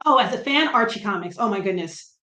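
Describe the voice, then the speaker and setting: A middle-aged woman from New Jersey with a light Brooklyn accent, a comic writer chatting as a guest, self-deprecating and quick to laugh. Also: mid-range voice, animated, pushed and bright. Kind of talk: casual interview chat. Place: room at home. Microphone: video call. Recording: roomy echo on a video call.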